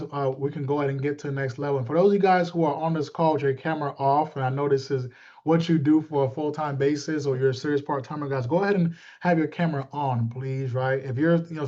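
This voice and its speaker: Fast-talking man, slightly muffled call. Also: a little high, darkish tone. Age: 30s. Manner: informal, repetitive, checking in with listeners. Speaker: sales team leader and coach. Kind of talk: motivational team training talk. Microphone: video call. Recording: muffled, lo-fi video call audio.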